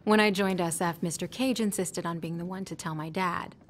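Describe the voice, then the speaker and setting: A woman's voice: lowish voice, steady tone, a little fast, slight background noise, close to an everyday voice. A young woman playing a tough but self-doubting soldier in a video game, conversational and wry. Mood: reminiscing. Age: young adult. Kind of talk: video game cutscene acting.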